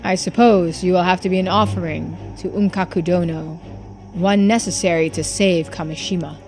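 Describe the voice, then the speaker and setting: A woman's voice: on the low side, fairly even intonation, normal speed, a little mellow, lightly acted. Domineering island businessman played by a woman, visual novel villain, scornful and commanding, music underneath. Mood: grave, resigned. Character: stern, domineering male island businessman and patriarch. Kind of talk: visual novel character voicing.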